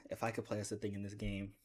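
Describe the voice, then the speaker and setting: A man with a deep voice chatting casually in a gaming vlog, rambling and joking with bursts of excitement. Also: slightly rough. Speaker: gaming video creator.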